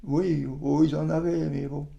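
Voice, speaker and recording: A man in his nineties reminiscing in an interview, halting and colloquial, often repeating his phrases. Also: on the high side, level tone, vocal fry, dark tone, dull audio. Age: 90s. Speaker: interviewee, war witness.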